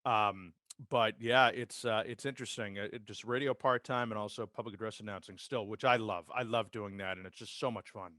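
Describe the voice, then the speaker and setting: A man's voice: on the low side, steady tone, fairly quick, few pauses, pushed and bright, dry recording, studio quality. A man with an American accent, telling stories about his working life in a casual interview. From Massachusetts, United States. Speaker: podcast guest, sports public address announcer and radio host. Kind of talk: casual conversational interview, career storytelling.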